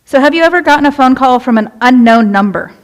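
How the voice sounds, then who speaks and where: A woman's voice: high-pitched, lively intonation, fast talker. Chatty young woman giving a sermon. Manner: chatty, relatable, asks the congregation questions. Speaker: youth minister preaching. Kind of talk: casual conversational sermon. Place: church sanctuary.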